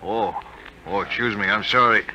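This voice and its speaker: An actor playing an offhand, wary hotel desk clerk with slangy talk, in 1950s radio drama style. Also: male voice, lowish voice, fairly even intonation, normal speed, pushed and bright, muffled recording. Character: shifty, casual male hotel desk clerk. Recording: faintly noisy 1950 radio broadcast.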